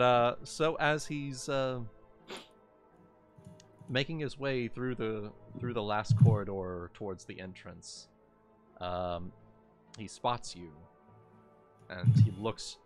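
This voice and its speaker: A man improvising narration as game master of a tabletop fantasy game, halting and repeating his phrases. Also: lowish voice. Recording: background music behind voice. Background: music.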